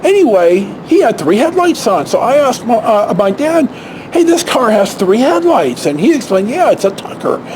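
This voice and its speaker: High, animated man with background noise. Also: poor audio. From San Francisco Bay Area, United States. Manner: halting, with frequent self-corrections and asides. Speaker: vlog host telling personal stories. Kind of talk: casual rambling storytelling. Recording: clear steady background noise.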